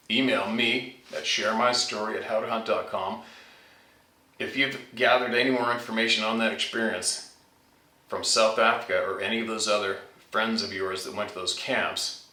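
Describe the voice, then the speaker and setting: A man's voice: lowish voice, bright, forceful tone. A man hosting a channel, informally reading out and talking about scary stories sent in by listeners. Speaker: channel host reading out a viewer's submitted story. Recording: roomy echo, distant from mic.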